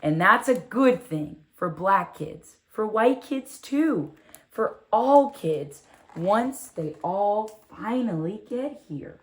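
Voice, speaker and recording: Woman reading as an unhurried, wondering six-year-old girl. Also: medium pitch. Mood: proud, hopeful. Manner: expressive, wondering, asks rhetorical questions. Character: six-year-old girl, wide-eyed, curious, proud storyteller. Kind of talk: first-person children's storybook read aloud.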